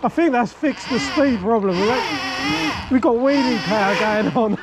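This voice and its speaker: A man in his forties, an excitable vlogger talking on a river while riding. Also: very high voice. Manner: excitable, laughing, thinking aloud. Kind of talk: excited outdoor commentary. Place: outdoors on a river. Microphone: action camera. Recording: loud outdoor noise. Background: rushing water and outdoor noise.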